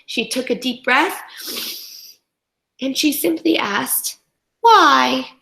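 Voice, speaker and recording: A woman reading a storybook aloud to young children in an expressive, teacherly way, with instructive asides to her listeners. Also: high-pitched, slow pace, vocal fry. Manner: animated, instructional, talks directly to young listeners. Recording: echoey room.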